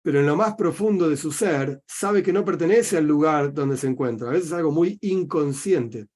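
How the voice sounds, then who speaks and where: A man's voice: fairly high pitch, moderate pace, a little mellow. A middle-aged man with an Argentine accent, a rabbi giving a religious teaching talk, explaining by asking himself questions and answering them. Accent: Argentine Spanish.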